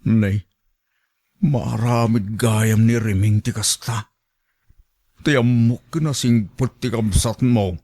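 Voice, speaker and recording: An actor with an Ilocano accent playing a gullible, easily wounded suitor in a radio drama, with naive, drawn-out exclamations. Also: male voice, lowish voice, steady tone, dark tone. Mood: surprised, hurt.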